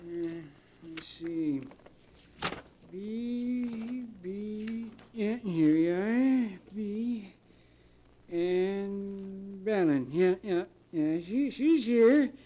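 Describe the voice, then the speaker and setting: A slightly noisy 1940s radio recording carries an old male cemetery caretaker. He talks slowly in a dark, mellow tone. He is grudging, greedy and uneasy, grumbling with folksy hesitation. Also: high-pitched, animated, heavily acted. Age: older. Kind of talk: 1940s radio drama acting.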